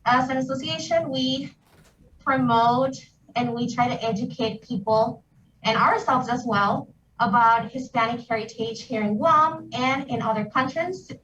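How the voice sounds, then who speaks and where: Measured woman from Puerto Rico, far from the mic. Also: on the high side, a bit bright, highs cut off, slight background noise. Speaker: heritage association member. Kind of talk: remote video interview.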